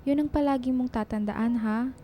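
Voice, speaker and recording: High, mellow, caring young woman, acted by a woman. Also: moderate pace, slight background noise, close to an everyday voice. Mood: gentle, consoling. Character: Milana, caring young woman, gentle supportive friend and cousin. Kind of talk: radio drama dialogue.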